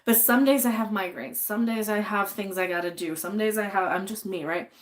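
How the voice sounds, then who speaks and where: A woman's voice: mid-range voice, a little fast. A young adult woman hosting a casual livestream while she cooks, talking bluntly, swearing freely and rambling, a little sniffly. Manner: reads chat names aloud and answers them. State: a bit sniffly. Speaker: livestream host.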